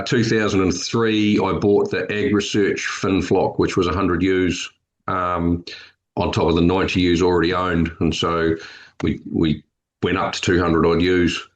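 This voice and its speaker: A man in his fifties with a New Zealand accent, a farmer talking steadily and matter-of-factly as a podcast guest. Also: deep voice. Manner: steady, matter-of-fact, with frequent ums. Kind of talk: conversational interview.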